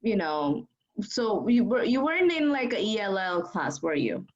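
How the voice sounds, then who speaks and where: A young woman asks interview questions in a friendly, encouraging tone, filling her speech with casual fillers. Her voice sits at a middle pitch. The recording sounds dull and lo-fi. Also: very animated, moderate pace. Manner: friendly, encouraging, many fillers like "like" and "you know".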